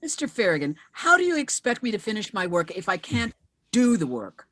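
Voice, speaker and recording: A woman playing a brisk widowed mother working as an investigator in a screenplay table read. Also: medium pitch, very animated, a bit bright. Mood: defensive.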